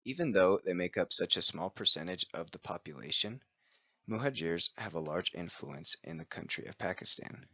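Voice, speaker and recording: A man with a very deep voice and a sharp, forceful edge reads a prepared presentation in an even, steady way. The recording is close and dry, with a muffled, lo-fi quality. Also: normal speed, no echo. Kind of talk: scripted read-aloud presentation.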